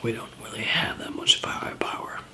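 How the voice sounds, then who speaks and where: A man doing an improvised ASMR roleplay as a weary, easygoing survivor, in a breathy, hesitant, rambling murmur. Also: fairly quick, sharp tone. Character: weary, easygoing male zombie apocalypse survivor.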